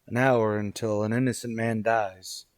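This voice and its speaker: A man's voice: fairly low pitch, little pitch movement, moderate pace, no room sound, lightly acted. A man playing an innocent condemned prisoner in an old-time radio drama, earnest and hesitant. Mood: resigned.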